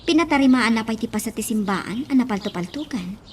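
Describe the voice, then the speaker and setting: A woman's voice: on the high side, expressive, brisk, a little hiss or hum, lightly acted. A woman acting a distressed young daughter who keeps questioning, in a radio drama. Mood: upset, questioning. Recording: faint ambience with chirping birds.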